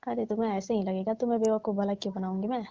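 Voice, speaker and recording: A woman's voice: mid-range voice, fairly even intonation, rapid-fire, mellow, no room sound, natural voice. A woman playing a flirtatious middle-aged auntie, coaxing and teasing in a casual phone conversation. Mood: coaxing, pleading. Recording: muffled lo-fi phone call recording.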